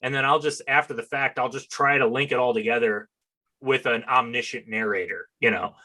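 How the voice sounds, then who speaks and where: A man's voice: mid-range voice, fairly even intonation, a little fast, pushed and bright, low-quality recording. A man hosting a casual interview in a chatty, self-deprecating, joking way. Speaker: interviewer, host and comics writer.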